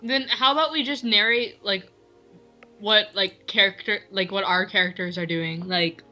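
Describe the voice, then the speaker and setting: A woman chatting on a voice call, laughing and quickly organizing who reads what. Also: mid-range voice, bright, forceful tone. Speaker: co-reader on a voice call. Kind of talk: casual chat. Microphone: voice call microphone. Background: faint classical music.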